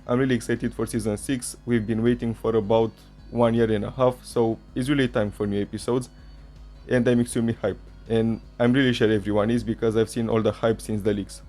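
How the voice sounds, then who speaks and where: Mellow-voiced man, Romanian native speaker. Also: mid-range voice. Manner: chatty, self-correcting fan commentary. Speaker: channel host. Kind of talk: casual commentary video.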